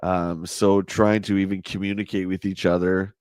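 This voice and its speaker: Man, hoarse, lowish voice, chatty stream host, dry close sound. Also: little pitch movement, moderate pace, darkish tone, no echo. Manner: chatty, answers viewer comments. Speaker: livestream host. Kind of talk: casual live chat reading a magazine.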